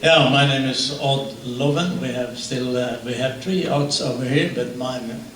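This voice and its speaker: An older retired pilot giving a halting reunion speech with many pauses. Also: male voice, medium pitch, noisy background. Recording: echoey hall from far off.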